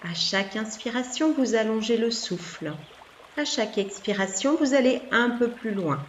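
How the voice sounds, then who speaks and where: Calm woman guiding relaxation, muffled recording. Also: fairly high pitch, a little hiss or hum. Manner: calm, soothing, unhurried with long pauses. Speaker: yoga nidra instructor. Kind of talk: guided relaxation meditation, soft instructions.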